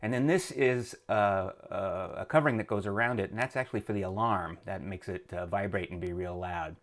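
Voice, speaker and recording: A man giving casual, hands-on how-to commentary, halting as he thinks aloud while he works. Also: low-pitched. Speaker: host of a repair tutorial channel.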